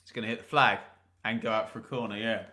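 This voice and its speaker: A man's voice: on the low side, steady tone, fast talker, pushed and bright. A man hosting a live football watch-along, commentating on the play and chatting to viewers with jokes and asides. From England. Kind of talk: live match commentary and chat.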